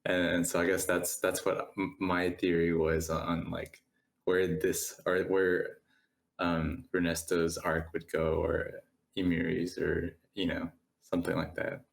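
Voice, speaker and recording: A man with a low voice chats casually as a podcast co-host. He is halting and tentative, often circling back to rephrase what he means. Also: level tone, gravelly. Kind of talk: casual book review discussion.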